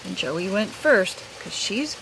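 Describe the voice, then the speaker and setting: A woman's voice: mid-range voice, gravelly. A middle-aged woman, a teacher, reads a picture book aloud expressively, outdoors under a roof. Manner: unhurried, animated. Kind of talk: expressive read-aloud storytelling. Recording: rain noise behind the voice.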